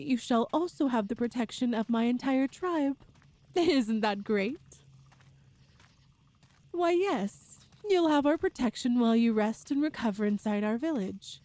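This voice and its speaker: A woman playing a tall, strong, cheerful and doting Amazonian woman in an ASMR roleplay, speaking gently in slightly formal phrases. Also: sits high, no echo. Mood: cheerful, protective. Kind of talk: ASMR roleplay audio drama.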